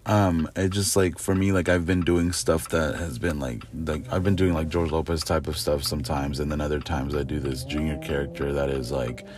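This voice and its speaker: A young man chatting casually as a content creator, halting and full of fillers, getting excitable. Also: a bass voice, a little hiss or hum. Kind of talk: casual podcast chat.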